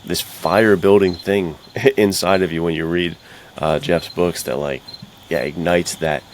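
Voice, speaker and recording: A man with a very deep voice talks like an excited podcast co-host. His delivery is casual, slangy and bro-ish. Some noise sits behind him. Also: a little slow. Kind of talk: casual enthusiastic podcast chat.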